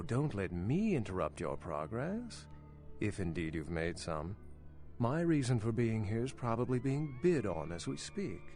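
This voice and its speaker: A man's voice: on the low side, a little mellow. A man playing an erudite, skeptical vampire archaeologist in a video game, speaking in an articulate, sardonic and pedantic way. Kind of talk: video game dialogue acting.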